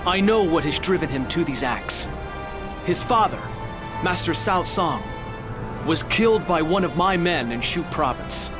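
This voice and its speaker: An old provincial lord speaks in a raised pitch with anxious humility. His delivery is formal and imploring, game drama set over clear music. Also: expressive, measured pace. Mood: worried. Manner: formal, pleading. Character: anxious, humble old provincial governor of ancient China. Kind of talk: video game dramatic acting. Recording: voice under loud music.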